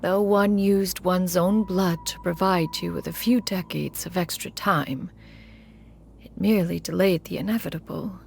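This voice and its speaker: A woman's voice: lowish voice, a little slow, gravelly, a little mellow, slight background noise. A woman voicing an aloof, ancient female crane spirit in a game cutscene, speaking in a formal, lofty manner. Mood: solemn. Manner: formal, refers to herself as "one".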